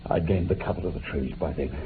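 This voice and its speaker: An actor playing a remorseful, dying old former bushranger in a radio drama, making an impassioned, halting confession. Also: male voice, rumbling low. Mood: desperate. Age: older. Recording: muffled phone-like old radio recording, hiss.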